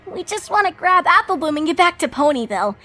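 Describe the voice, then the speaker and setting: A woman voicing young fillies, girlish and bright, nervous and wary. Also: very high-pitched, very animated, fast talker, faint music behind, pitched above the performer's own voice, full character voice.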